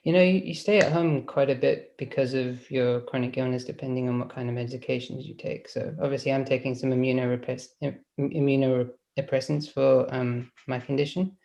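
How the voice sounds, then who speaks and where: A young man with a New Zealand accent speaks casually over a video call, pausing and restarting as he goes. His voice has a gravelly edge. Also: medium pitch, fairly even intonation, mellow. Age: 20s. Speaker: guest.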